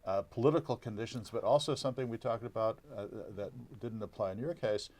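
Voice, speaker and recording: A man in a panel discussion explaining history in a lecturing way, halting often with restarts. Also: fairly low pitch, a little mellow. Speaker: columnist and economics teacher.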